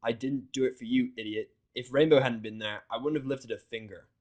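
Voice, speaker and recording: A man's voice: mid-range voice, steady tone, fairly quick, darkish tone, muffled recording, natural voice. A man voicing a surly, impatient, foul-mouthed hero in a story, blunt and curt. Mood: hostile, impatient.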